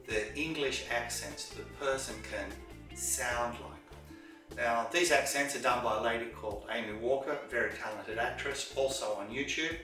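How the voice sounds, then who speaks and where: A man teaching English to camera, stressing points by repeating words and slowing down on key phrases. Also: medium pitch, sharp tone. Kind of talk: lesson to camera. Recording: very echoey room, distant mic, with music.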